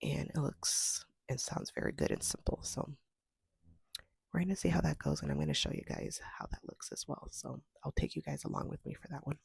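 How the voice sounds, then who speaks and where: Hoarse, gravelly woman vlogging at home. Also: low-pitched, very animated, brisk, no room sound. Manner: soft, half-whispered delivery. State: hoarse from a cold, sniffling. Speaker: homestead vlogger. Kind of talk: casual vlog chat. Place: at home, indoors.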